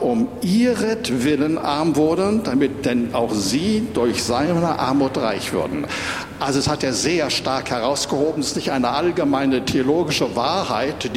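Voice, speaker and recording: A man preaching a sermon, emphatic and insistent, hammering points home by repeating phrases. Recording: echoey reverberant hall, background noise, rumble.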